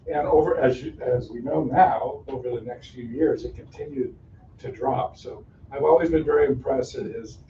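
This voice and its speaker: A man's voice: medium pitch, moderate pace, a little hiss or hum. A man hosting a small meeting, introducing people in a friendly, rambling way and repeating his words. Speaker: meeting host. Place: meeting room. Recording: distant, echoey, lo-fi.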